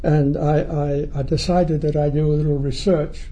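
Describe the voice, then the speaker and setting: An elderly man from New Zealand and England reminiscing in an interview, with a halting, reflective, erudite and wryly humorous manner. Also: on the high side, level tone, warm tone. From born in New Zealand, raised in England. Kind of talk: oral history interview reminiscence. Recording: faint background noise.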